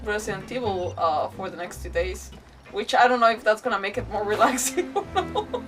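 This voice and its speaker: A woman's voice: mid-range voice, animated, a little fast, recorded from a distance. A woman streaming a video game with casual, rambling, emotive commentary. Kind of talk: casual live gameplay commentary. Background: game music.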